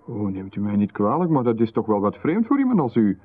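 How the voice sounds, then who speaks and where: A man with a Flemish accent playing a smooth-talking, insistent visitor in a radio play, with a husky voice and a polite manner, explaining at length in technical jargon. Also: fairly low pitch, wildly swooping pitch, a little fast. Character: smooth-talking male visitor, middle-aged, insistent and eerie. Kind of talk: 1990s radio drama. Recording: phone-like radio recording.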